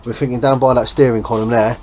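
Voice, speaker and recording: A man's voice: medium pitch, level tone, quick pace, a little mellow. An English man hosting a boat restoration vlog, chatting casually and explaining the job as he works in an informal, blokey way. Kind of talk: casual hands-on vlog chat. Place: on and around a small boat. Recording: background noise on location.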